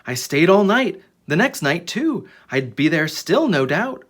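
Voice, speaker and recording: A children's story reader with a husky, slightly rough voice. The delivery is dramatic and highly animated, swinging up and down in pitch as a storybook is read aloud. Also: unhurried.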